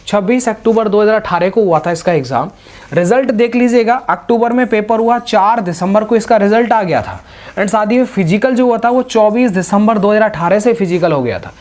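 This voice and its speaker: A man explaining things informally to students as a coaching teacher, repeating points and often directing the listener's attention. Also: sits high. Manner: repetitive, instructive, with frequent verbal pointers to the listener.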